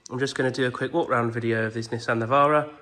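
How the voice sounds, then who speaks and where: A man's voice: mid-range voice, steady tone, racing, fairly bright tone. Man with a cold, echoey outdoor recording. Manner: plain, methodical and reassuring. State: has a cold. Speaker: car salesman. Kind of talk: walk-around vehicle video. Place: outdoors around a vehicle. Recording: echoey, with faint noise.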